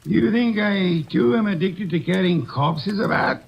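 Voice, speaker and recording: An actor playing a portly, unflappable older detective who speaks in a measured, pompous way, in 1940s radio acting. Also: male voice, sits high, gravelly, slightly rough, heavily acted. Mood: smug. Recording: dull old radio broadcast recording.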